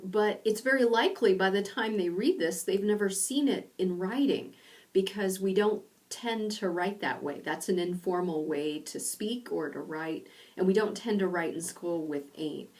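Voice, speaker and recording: A middle-aged woman from rural Indiana giving a recorded online lecture from her home study, explaining slowly with pauses. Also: medium pitch, a little mellow, distant mic. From rural Indiana, USA. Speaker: university lecturer.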